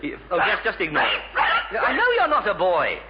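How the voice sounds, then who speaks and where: Bumbling english bachelor, stammery and flustered, highish voice, old muffled radio sitcom. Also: male voice, huge pitch swings, a little fast. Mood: flustered, apologetic. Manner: stammering, flustered, tripping over his words. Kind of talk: 1950s-60s British radio sitcom acting. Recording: muffled vintage radio recording, faint hiss.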